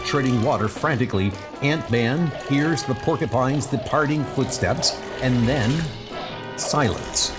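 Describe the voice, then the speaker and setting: A man's voice: mid-range voice, measured pace, over music. A man reading dramatic scripted narration for a comic book story.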